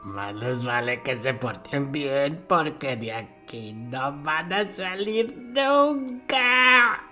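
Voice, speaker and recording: A man playing a menacing, mocking prison guard in a radio drama, with a taunting, drawn-out delivery. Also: a little high, expressive, pushed and bright, soft background music, full character voice. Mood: threatening. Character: menacing, mocking male prison guard greeting new inmates.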